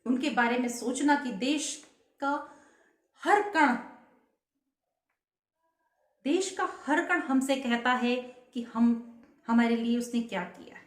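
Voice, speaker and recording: Woman, vlogger, earnest, rhetorical questions, high voice, roomy echo. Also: unhurried. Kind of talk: patriotic speech to camera.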